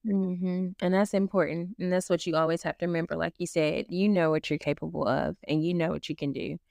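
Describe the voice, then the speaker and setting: Supportive woman co-host, low-ish smooth voice, reflective delivery. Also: fairly even intonation, brisk, darkish tone, no room sound, clean studio sound. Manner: encouraging, reflective. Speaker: podcast co-host. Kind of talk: casual chat.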